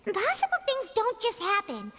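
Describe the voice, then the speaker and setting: A woman's voice: very high-pitched, huge pitch swings, normal speed, pushed and bright, exaggerated voice. A woman voicing a tiny, childlike fairy companion in a video game, earnest in manner. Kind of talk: scripted video game voice acting. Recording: long reverb, music underneath.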